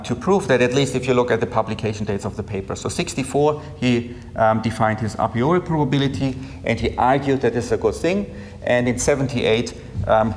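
A man's voice: mid-range voice, darkish tone. Male lecturer, middle-aged, explanatory conference delivery with frequent check-in tags; long room reverb and faint background noise. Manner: checks in with short tags like okay and yeah. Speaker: academic lecturer presenting a paper. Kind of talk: technical conference lecture, explanatory and semi-improvised. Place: indoor lecture room with projector screen.

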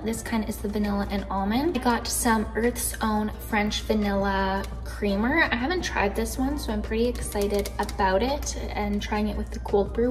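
A young woman from Canada chatting casually in her kitchen, bubbly and easily excited, filmed on a phone camera. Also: medium pitch. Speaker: vlogger. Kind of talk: casual grocery haul chat. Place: kitchen indoors.

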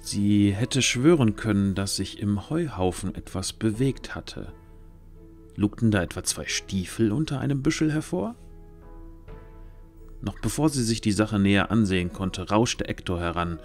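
A man's voice: lowish voice, expressive, unhurried, a little mellow, faint music behind. A man narrating a scripted fantasy audio drama as its storyteller.

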